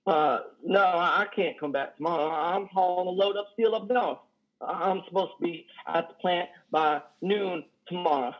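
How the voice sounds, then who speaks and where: An actor in his thirties playing a lonely, awkward trucker in a play reading, halting, stammering and folksy. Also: high voice. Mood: shy, rambling. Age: 30s. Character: lonely, awkward, self-deprecating trucker, plain-spoken and folksy. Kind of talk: play reading over video call. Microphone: headset on a video call. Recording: dull video-call audio.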